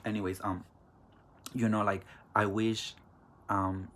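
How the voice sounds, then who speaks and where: A man's voice: on the low side, flat delivery, slow pace, a bit bright. A young man with a Mexican accent hosting a casual solo podcast, chatty, loose and profane. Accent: Mexican Spanish. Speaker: podcast host.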